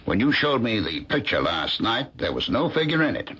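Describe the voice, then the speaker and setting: A man playing a courteous, skeptical family friend in a vintage radio drama, speaking emphatically and haltingly. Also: fairly low pitch, very animated, pushed and bright, slightly rough, full character voice. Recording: dull, lo-fi old radio recording.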